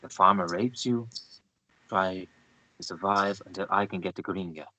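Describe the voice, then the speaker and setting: A forceful, low-pitched male journalist as a man acts him in a drama read-through. He is driven and suspicious, with a sharp edge to the tone. The delivery is clipped and insistent. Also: normal speed, low-quality recording. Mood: grudging.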